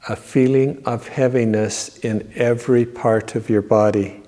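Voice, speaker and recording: Very slow, mellow man guiding meditation. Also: mid-range voice, fairly even intonation. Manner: measured, repetitive, instructing. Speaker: guided meditation teacher. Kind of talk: yoga nidra guided meditation. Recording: long reverberant echo on voice.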